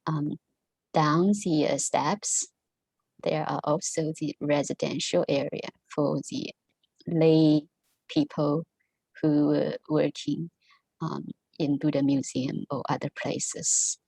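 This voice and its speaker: A woman whose first language is Mandarin gives a halting talk to an online Buddhist study group, full of pauses and fillers. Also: deep voice, flat delivery, vocal fry, a little mellow, slightly rough, no room sound, rough recording. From Taiwan. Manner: halting, many ums and restarts. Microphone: video call.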